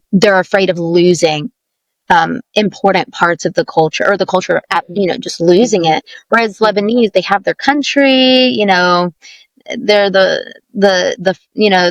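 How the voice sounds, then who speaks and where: A young woman from Alabama, a guest in an interview, speaking thoughtfully and gently while searching for her words. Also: on the low side, no room sound, poor audio. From Alabama, USA. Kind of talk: casual interview conversation.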